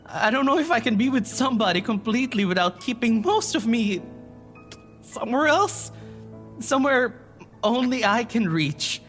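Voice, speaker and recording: An actor playing an anxious, poetic being in an audio drama, speaking in halting, earnest stammers. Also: medium pitch, animated, fairly bright tone, lightly acted. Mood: anxious, self-blaming. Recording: music underneath.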